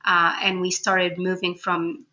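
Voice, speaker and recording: A woman's voice: fairly low pitch, flat delivery, unhurried, pushed and bright, lo-fi sound. A woman from Egypt talking casually and informally as a guest in an interview, chatty and given to laughing. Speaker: podcast guest, food blogger.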